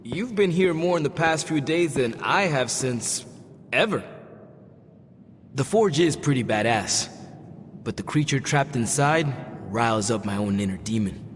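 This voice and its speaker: A man playing a cocky, excitable young video game hero with a casual, slangy way of talking. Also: medium pitch, long reverb tail, slight background noise. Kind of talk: video game cutscene acting.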